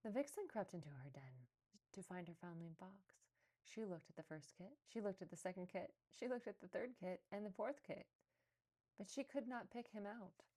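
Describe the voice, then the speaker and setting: A woman's voice: mid-range voice, lively intonation, normal speed, warm tone. A woman narrating a children's story, reading aloud from a storybook. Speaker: storybook reader.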